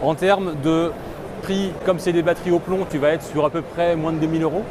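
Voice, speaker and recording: A man rattles through product details with quick, salesman-like energy at an exhibition stand. His voice sits slightly high. Loud hall noise fills the background. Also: level tone. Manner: fast, pitchy salesman-like. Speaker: guest presenting products. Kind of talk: casual product explanation. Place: large indoor exhibition hall.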